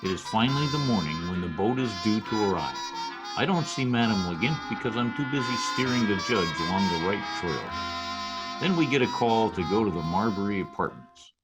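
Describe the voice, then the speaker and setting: A man playing a wisecracking Broadway hustler who narrates his tale in old-time radio drama style, full of street slang and deadpan turns. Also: on the low side, brisk, close to an everyday voice, poor audio. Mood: wry. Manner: folksy present-tense slang, deadpan storytelling. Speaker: narrator and supporting character. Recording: music behind, home video-call radio play.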